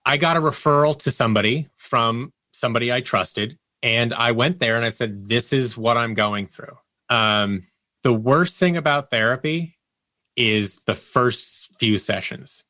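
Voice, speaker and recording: Man, interview guest, bright forward voice, candid conversational storytelling, quoted dialogue acted out, dry close sound. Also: medium pitch, no room sound.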